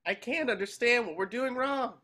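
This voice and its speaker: A man's voice: very high-pitched, normal speed, bright, forceful tone, heavily acted. A man voicing an earnest, eager, boyish cartoon sea sponge in a table read, coaxing as he talks.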